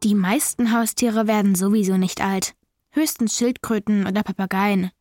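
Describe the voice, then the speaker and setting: A woman's voice: medium pitch, fairly even intonation, moderate pace, darkish tone, no room sound, natural voice. A woman playing a young girl who narrates a children's radio play in a plain-spoken, confiding way. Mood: matter-of-fact. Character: young girl wishing for a pet, matter-of-fact. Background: music.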